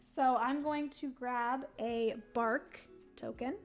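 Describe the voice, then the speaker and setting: A young woman on a livestream, talking through her moves in a solo board game and chatting with viewers, her voice going a little hoarse. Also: on the high side, steady tone, takes it slowly, faint music behind. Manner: chatty, thinks aloud, greets chat viewers. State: losing her voice. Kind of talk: casual livestream play-by-play.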